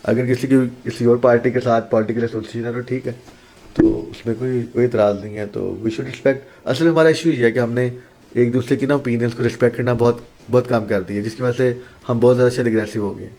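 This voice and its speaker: A man's voice: medium pitch, steady tone, fairly quick, darkish tone, poor audio. Male teacher from Pakistan, earnest and explanatory podcast guest, mid-range voice, mixes in English. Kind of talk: podcast interview answers.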